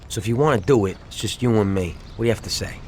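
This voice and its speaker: An actor playing an excitable young gangster who talks in street slang and breaks into sudden outbursts. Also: male voice, on the low side, racing, natural voice. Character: excitable young male gangster, tempted by loot. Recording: game audio with street noise.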